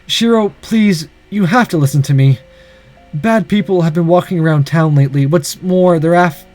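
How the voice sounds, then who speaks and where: An earnest, desperate young man played by a male reader in a game story. He pleads emphatically in a high-pitched voice, over faint music. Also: normal speed, darkish tone, close to an everyday voice. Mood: urgent. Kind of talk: dramatic visual novel character reading.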